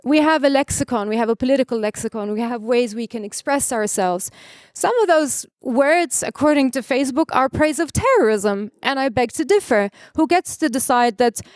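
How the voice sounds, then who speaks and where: A woman's voice: sits high, lively intonation, normal speed, no echo. A woman from Palestine, speaking as a panelist and arguing her points with passion and frequent restarts. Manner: impassioned, argumentative, with frequent restarts. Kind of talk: conference panel answer. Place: conference stage.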